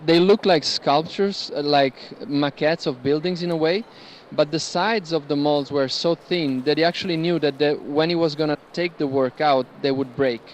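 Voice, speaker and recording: A man's voice: on the high side, normal speed. A man working as a curator, talking informally as he guides visitors around works at an art fair. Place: large indoor art fair among gallery stands. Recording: noisy fair hall ambience behind voice. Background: busy fair hall background noise.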